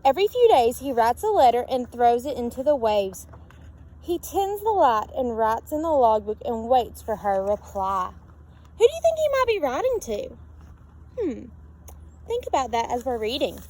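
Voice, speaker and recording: High-pitched woman reading a picture book aloud. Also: normal speed, rough recording. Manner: expressive, sing-song on repeated calls, questioning listeners. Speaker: storybook reader. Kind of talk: read-aloud narration of a picture book with asides to children. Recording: steady background noise.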